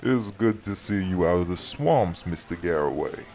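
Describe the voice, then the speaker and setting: An actor playing a stern, dry-witted community guardian with a formal, sardonic, measured delivery in an audio roleplay. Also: male voice, deep voice, expressive, darkish tone. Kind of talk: audio roleplay acting, dramatic dialogue.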